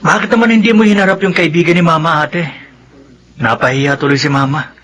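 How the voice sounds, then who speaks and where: A man's voice: fairly high pitch, fairly even intonation, fairly quick, over loud music, natural voice. A man with a Filipino accent acting a polite, easygoing youngest son in a radio drama, with a boyish manner. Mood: puzzled.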